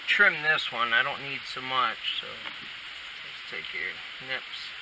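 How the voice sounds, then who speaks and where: A man walks through a hands-on job in an informal, folksy way, thinking aloud as he works. His voice sits at a distance from the microphone. A clear hiss runs through the recording. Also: mid-range voice, expressive, takes it slowly. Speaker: DIY installer demonstrating. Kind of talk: casual hands-on tutorial talk. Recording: hissy, noisy, distant camera mic.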